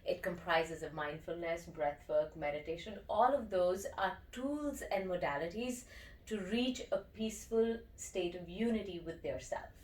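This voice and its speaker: Low-pitched woman from India, eager teacher guest, heard from a distance in an echoey room. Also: moderate pace, a little mellow. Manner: enthusiastic, explanatory, often checks in with "okay?". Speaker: breathwork and yoga teacher. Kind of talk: interview guest.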